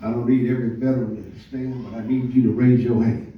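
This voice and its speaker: An older man with an American accent giving an oratorical public speech, with deliberate pauses and emphasis. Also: medium pitch, level tone, a little hiss or hum. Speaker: speaker addressing a live audience. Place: large echoey room, speaking before an audience. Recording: echoey hall, distant muffled mic.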